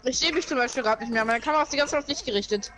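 A woman complaining quickly about her game view while playing with friends. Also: high-pitched, noisy background. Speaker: gamer. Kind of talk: casual let's-play banter.

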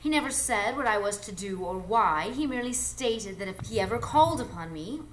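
A woman playing an alluring, ageless, mysterious adventuress in a live radio drama, speaking in a measured, coy, theatrical way. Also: mid-range voice, expressive, natural voice. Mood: wistful. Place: live performance space before an audience. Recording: noisy live stage recording.

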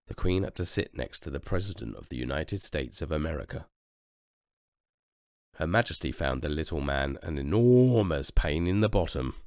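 A man reading a children's story aloud with expressive narration. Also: rumbling low, normal speed, no echo, clean studio sound. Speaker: storybook reader.

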